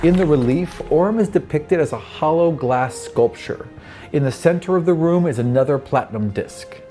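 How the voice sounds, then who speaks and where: A man's voice: a little high, normal speed. A man working as game master and podcast host, narrating a fantasy tabletop game in a conversational way. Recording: background music under the voice. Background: music.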